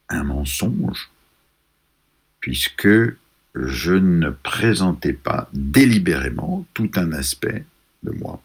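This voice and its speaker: A man from France, an author, telling a reflective personal story in a measured, pausing way, searching for his words. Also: low voice, a little mellow, slightly rough.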